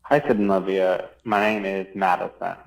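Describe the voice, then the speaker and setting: A man's voice: low-pitched, flat delivery, normal speed, gravelly. A man from Chicago leaving a casual voicemail as a listener, recorded on a phone. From Chicago, United States. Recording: voicemail, distant from mic.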